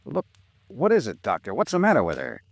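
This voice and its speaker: An actor with an American accent playing a tough, dogged, wry insurance investigator in a 1950s radio detective drama. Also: male voice, medium pitch, huge pitch swings, a little fast, creaky, no echo, full character voice. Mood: alarmed.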